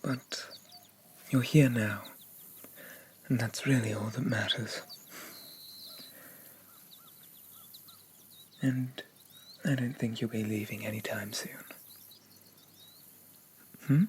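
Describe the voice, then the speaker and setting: A haughty young demon prince , acted out by a man, speaks in a medium-pitched, unhurried voice that slips into whispers. He is formal, condescending and quietly possessive, in the style of an ASMR roleplay. Faint ambient noise sits behind him. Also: fairly even intonation, lightly acted. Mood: possessive, coaxing. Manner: pompous, formal, softly condescending.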